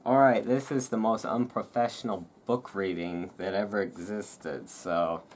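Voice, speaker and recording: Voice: sits low, steady tone, measured pace. A self-deprecating host chatting casually in a rambling way between bits of reading aloud for an ASMR audience, recorded at home. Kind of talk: casual ASMR chat around a book reading. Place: at home indoors. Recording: noisy room with thunderstorm ambience. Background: thunderstorm ambience, room noise.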